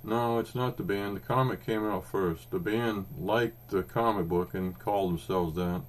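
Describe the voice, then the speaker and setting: A man in his fifties with an Oklahoma accent talks comics on a podcast, his voice a little low. He rambles through stories with frequent ums and restarts, casual and unhurried. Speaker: podcast co-host. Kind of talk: casual chat about comics.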